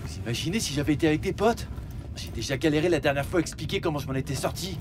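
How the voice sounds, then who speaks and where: An actor playing a mouthy young street informant in a French audio drama, speaking in slangy protest. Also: male voice, racing, natural voice. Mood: indignant. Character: young male street informant, aggrieved, mouthy small-time crook. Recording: vehicle rumble under the voice. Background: vehicle ambience.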